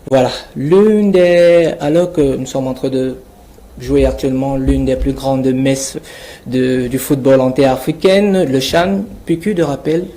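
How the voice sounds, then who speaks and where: A man's voice: medium pitch, warm tone, slight background noise. A man with a Cameroonian accent reading a scripted portrait of a guest in a theatrical, declamatory manner. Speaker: radio columnist presenting a guest portrait.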